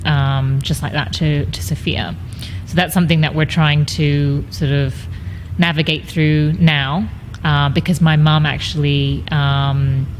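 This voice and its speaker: An interviewee speaks hesitantly, filling gaps with ums and restarts. The voice carries a long, echoey room reverb with a faint hiss of noise behind it. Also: mid-range voice. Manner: hesitant, many fillers. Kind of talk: documentary interview.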